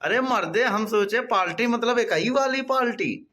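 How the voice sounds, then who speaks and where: A man's voice: very high-pitched, normal speed, sharp tone. A man voicing a naive, whining village uncle in a comic cartoon, with an Awadhi accent. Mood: puzzled.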